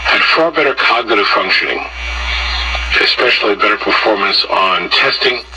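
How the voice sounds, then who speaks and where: A man's voice: lowish voice, expressive, normal speed, noisy background. A man giving an explanatory medical talk on the radio, heard through a vintage tube set.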